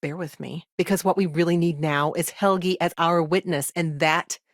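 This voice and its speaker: A dry, studio-clean recording of an actress as a principled female lawyer from a sci-fi video game. Her voice sits a little below average for a woman. She talks in an earnest, articulate, conversational manner, pausing thoughtfully as she reasons things through. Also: on the low side, steady tone, dry recording, close to an everyday voice. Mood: earnest, businesslike. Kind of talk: video game character dialogue.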